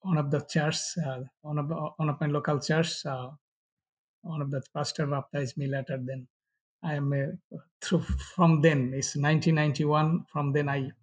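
A middle-aged man from Bangladesh with a Bangladeshi accent and Bengali as first language, giving personal testimony haltingly in non-fluent English. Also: on the high side, flat delivery, husky. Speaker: pastor, interview guest.

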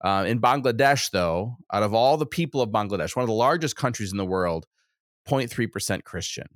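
A man's voice: fairly low pitch, lively intonation, brisk, no room sound, studio quality. A man hosting a religious interview show in a conversational manner. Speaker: host.

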